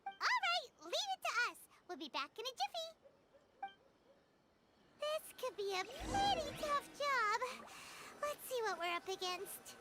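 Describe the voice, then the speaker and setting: Chirpy little fairy sidekick, very high squeaky voice, animated swoops, game dialogue over loud music. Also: female voice, a little slow, heavily acted. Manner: chirpy, speaks of self in third person. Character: tiny childlike floating fairy girl companion, chirpy and helpful. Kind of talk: video game voice acting.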